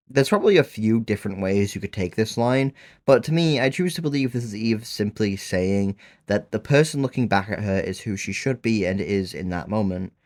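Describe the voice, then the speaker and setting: Lower-pitched man narrating a video essay. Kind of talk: scripted narration with casual asides.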